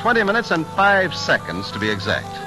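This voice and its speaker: A man's voice: a little high, wildly swooping pitch, a little fast. A man narrating a scripted story in a wry, theatrical old-time radio style. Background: music.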